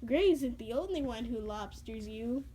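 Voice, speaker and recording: Woman acting a cheeky, high-voiced boy prankster. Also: expressive, normal speed, dark tone, a little hiss or hum, close to an everyday voice. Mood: mischievous. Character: smirking, teasing young male prankster.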